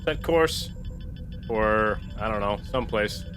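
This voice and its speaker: A man's voice: on the low side, takes it slowly, fairly bright tone, faint music behind. A man playing a tabletop role-playing game, switching between casual banter and dramatic in-character lines with a joking manner.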